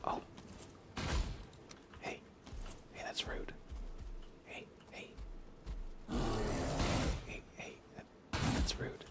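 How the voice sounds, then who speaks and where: A man whispering soft, breathy ASMR commentary while livestreaming a video game, often muttering short repeated phrases. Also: drawn-out words, long pauses.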